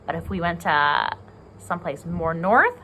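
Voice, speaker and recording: A woman's voice: on the low side, expressive, measured pace, pushed and bright, slight background noise. A woman with an American accent reading a picture book aloud to young children, explaining simply and asking them questions as a pre-K teacher.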